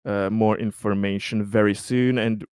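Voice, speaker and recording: A man playing a tabletop roleplaying game, talking in a hesitant, halting way with many repeated words as he explains and negotiates carefully. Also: fairly low pitch, fairly even intonation, darkish tone, smooth, no room sound, clean studio sound. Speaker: role-playing game player. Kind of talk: improvised in-character talk and table discussion.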